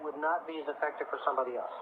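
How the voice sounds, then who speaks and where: Male radio guest expert, assured and conversational, fairly high voice, narrow-band noisy 1980s recording. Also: fairly even intonation, very fast. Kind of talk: casual explaining on a call-in radio show. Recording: tinny, very noisy 1980s radio broadcast tape.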